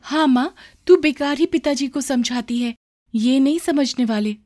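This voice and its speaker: A woman's voice: high-pitched, unhurried, darkish tone, pitched above the performer's own voice. A woman voicing an earnest, caring little daughter in a cartoon story, with a pleading manner.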